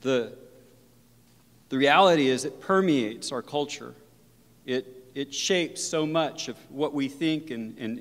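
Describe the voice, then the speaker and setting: A male professor of religion giving an earnest, reflective university lecture that mixes in personal stories. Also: mid-range voice. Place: lecture hall. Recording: long reverb of a lecture hall.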